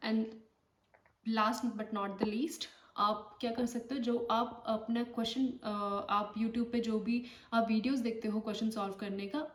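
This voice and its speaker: A woman's voice: a little high, far from the mic. Woman nurse explaining in an echoey room. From India. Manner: explanatory, checks in often with "okay". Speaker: nurse and exam tutor. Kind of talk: casual spoken tutorial. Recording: echoey room, voice off-mic, faint noise.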